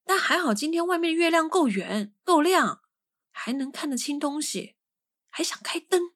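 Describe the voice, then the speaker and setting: A woman playing an excitable, impatient young sleuth in an audio drama, with a brash, colloquial, expressive delivery. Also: high-pitched, normal speed. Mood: curious.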